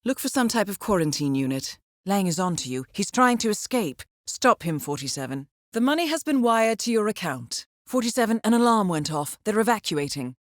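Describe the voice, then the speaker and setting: An actress playing a composed intelligence handler who briefs an assassin in a measured, formal, understated way for a video game. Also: female voice, medium pitch, very animated, no room sound, clean studio sound, natural voice. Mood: cool, efficient. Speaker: mission handler. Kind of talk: scripted video game voice acting, mission briefing.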